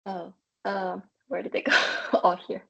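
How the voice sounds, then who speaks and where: A woman answering casually and tentatively as a trainee in an online software session. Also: mid-range voice, monotone, unhurried, vocal fry, breathy edge. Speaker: trainee sharing her screen. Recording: dull lo-fi online meeting audio.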